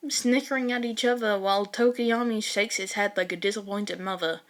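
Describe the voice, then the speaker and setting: A woman narrating fan fiction as an expressive storytelling reader. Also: medium pitch.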